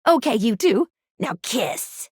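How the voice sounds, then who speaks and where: A woman's voice: a little high, sing-song, slow pace, slightly rough, no room sound, studio quality, heavily acted. A woman voicing an eager, playful, romance-loving video game character.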